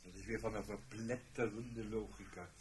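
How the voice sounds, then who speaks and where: A man's voice: lowish voice, steady tone, normal speed, close to an everyday voice. A man acting in a Dutch radio play as a fussy, sardonic fellow on a secret mission. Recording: noisy radio play recording.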